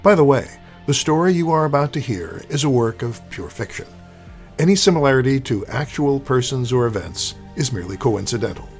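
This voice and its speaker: A man hosting an audio play series, giving a scripted theatrical introduction in a measured, formal, wry way. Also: medium pitch, expressive. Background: music.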